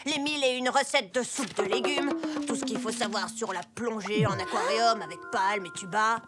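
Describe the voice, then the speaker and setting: Woman doing a bored, sarcastic cartoon kid, music loud behind. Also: a little high, moderate pace, close to an everyday voice. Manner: sarcastic, grumbling.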